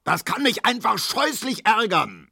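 A man's voice: low-pitched, a little fast, no echo, clean studio sound. A man voicing a choleric middle-aged male gambler who bellows and grumbles in exasperation. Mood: choleric bellowing.

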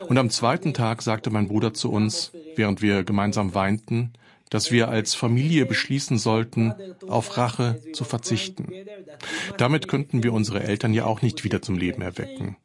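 A man's voice: fairly low pitch, normal speed. Man reading a dubbed interview voice-over. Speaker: voice-over translator.

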